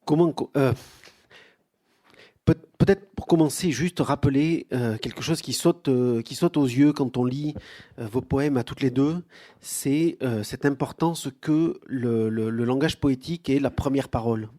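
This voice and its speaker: A man hosting a bookshop poetry talk, halting and full of fillers and repeats. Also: mid-range voice, dark tone. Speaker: event host. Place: bookshop event room.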